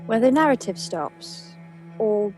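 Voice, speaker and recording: Slow-paced woman narrating poetically over music. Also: medium pitch, lively intonation, poor audio. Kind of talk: poetic scripted narration for an audio play.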